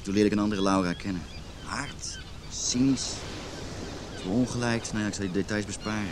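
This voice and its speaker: A man's voice: lowish voice, moderate pace, noisy background, natural voice. An actor playing a disillusioned, self-pitying married man in his thirties in a naturalistic radio drama. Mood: rueful.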